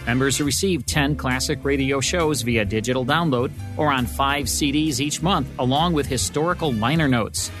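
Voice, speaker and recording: A man's voice: medium pitch, moderate pace, few pauses. A man hosting a podcast, reading a scripted show outro. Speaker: podcast host. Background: music.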